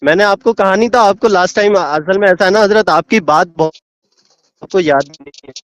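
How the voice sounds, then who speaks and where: A man with a slightly high voice talks as a caller, respectful and hesitant in his phrasing. The recording is close and dry. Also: dry recording, poor audio. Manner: deferential, halting. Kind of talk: casual phone chat.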